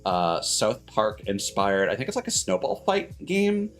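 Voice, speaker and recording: A man hosting a livestream in casual chat, rambling and haltingly backtracking mid-sentence. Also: lowish voice, big pitch swings, a bit bright. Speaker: streamer host. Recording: music playing behind the voice. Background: background music.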